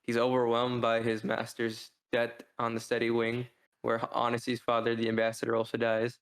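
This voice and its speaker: Man, mid-pitched, narrow intonation, casual review chat, rambling, halting, podcast co-host. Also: completely flat delivery. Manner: rambling, halting, thinking out loud. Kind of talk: casual book review discussion.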